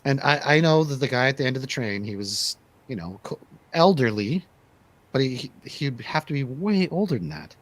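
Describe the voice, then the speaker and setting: A man's voice: medium pitch, sing-song, moderate pace. A man hosting a casual movie review chat, speaking in a conversational, opinionated way. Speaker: radio show host.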